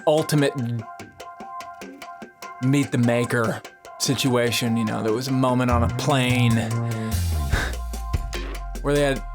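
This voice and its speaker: A man who is a musician, casually and haltingly telling how a song was made, in a rambling conversational way. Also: medium pitch, fairly even intonation. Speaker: musician, singer-songwriter. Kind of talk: casual storytelling about making a song. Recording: music playing behind the voice.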